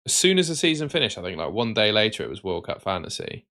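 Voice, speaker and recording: A man hosting a live stream with casual, matey chat that can turn excitable and sing-song. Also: lowish voice, fast. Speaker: livestream host.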